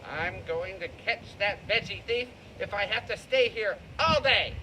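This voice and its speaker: A woman voicing a moody, expressive female skunk who is easily exasperated, in a children's puppet show. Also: medium pitch, a little slow, slight background noise, voice pushed down, rough recording. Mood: suspicious, indignant.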